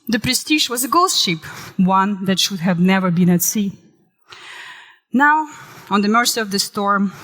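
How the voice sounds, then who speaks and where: A woman, recovering from illness and saving her voice, giving a lively conference talk that shifts into dramatic storytelling. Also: mid-range voice. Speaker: conference speaker. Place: conference hall. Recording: reverberant hall.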